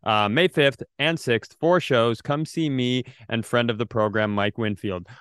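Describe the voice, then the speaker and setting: A man's voice: mid-range voice, a little slow, a bit bright, clear, resonant tone, no room sound, studio quality. A man co-hosting a podcast in casual comedic chat, with a rambling, wry way of talking. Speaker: podcast co-host.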